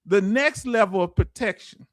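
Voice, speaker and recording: A man's voice: sits high, normal speed, no echo, clean studio sound. A man who teaches a livestream audience in loose, folksy talk and quizzes viewers with repeated questions. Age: 50s. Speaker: veteran benefits streamer. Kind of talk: livestream lesson answering chat.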